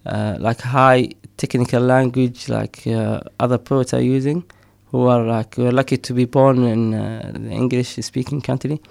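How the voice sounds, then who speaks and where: Man, middle-aged, gravelly, dry close sound, hesitant with fillers. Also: mid-range voice, little pitch movement, unhurried, few pauses, darkish tone, no room sound. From Somaliland. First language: Somali. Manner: hesitant, many fillers, frequent laughs. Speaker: poet and storyteller. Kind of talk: casual interview talk.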